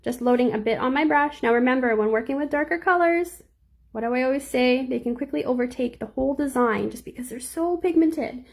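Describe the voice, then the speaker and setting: A young woman with a slightly squeaky voice, chatting casually through a live nail art tutorial and answering viewers as she works. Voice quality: a little squeaky.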